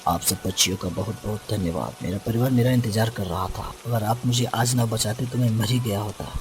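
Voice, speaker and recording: A man's voice: lowish voice, fairly quick, noisy background, lightly acted. A man voicing a grateful, polite male bird in a cartoon story, speaking earnestly.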